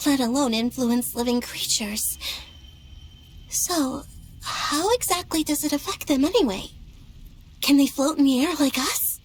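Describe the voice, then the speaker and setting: A woman voicing a gentle, wistful young moon goddess in an anime game, soft and polite. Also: high-pitched, measured pace, long pauses, soft background music, low-quality recording. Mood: curious, hesitant. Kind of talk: anime game cutscene acting.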